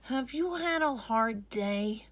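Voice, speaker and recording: A woman's voice: a little high, lively intonation, slow pace, dry recording, far from the mic, pitched above the performer's own voice. A woman voicing a timid, eager young boy in a read-aloud storybook. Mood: timid, concerned.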